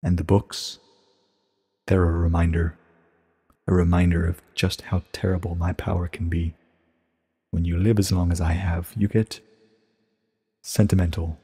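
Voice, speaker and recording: Man playing a low, husky, solemn pony princess. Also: flat delivery, mellow, big-room sound. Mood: solemn, resigned. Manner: grave, measured, confessional. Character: ancient pony princess ruler, solemn, weary and regretful. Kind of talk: dramatic storybook reading.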